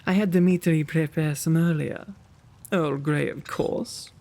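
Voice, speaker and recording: A man playing a kind, quaint gentleman vampire in an ASMR roleplay, with genteel, old-fashioned, fussy diction and a creaky voice. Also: fairly high pitch, fairly even intonation, unhurried. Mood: doting.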